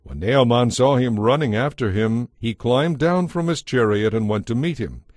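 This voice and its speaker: A man's voice: mid-range voice, moderate pace, darkish tone, no room sound. A man narrating a dramatized audio Bible as a scripted story, recorded close. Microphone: close, dry studio microphone. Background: faint music.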